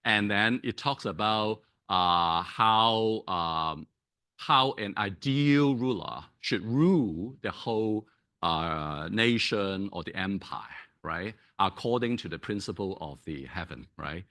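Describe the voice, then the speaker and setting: A male academic whose first language is Cantonese lectures at an unhurried pace. His voice is bright, and he keeps restarting phrases and filling gaps with "uh" as he turns to the audience with "right?". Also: on the low side, lively intonation. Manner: hesitant, many fillers and restarts, checks in with "right?". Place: lecture hall.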